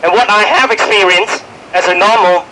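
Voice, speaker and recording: A man's voice: high-pitched, moderate pace, bright, forceful tone, rough recording. A man with a Hong Kong English accent, sick with a cold, giving an urgent, pleading statement at a press conference with many fillers and restarts. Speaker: finance worker speaking at a press conference. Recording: outdoor press event with faint street noise.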